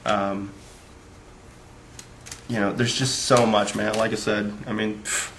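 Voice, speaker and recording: A man's voice: fairly low pitch, level tone, slow pace, a bit bright, a little hiss or hum. A man giving a casual spoken book review, rambling along with lots of fillers.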